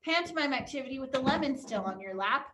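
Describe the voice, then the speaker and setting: A woman's voice: sits high, expressive, quick pace, fairly bright tone. A young woman teaching an online drama class for kids, encouraging and upbeat as she guides a pretend game over a video call. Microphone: computer on a video call.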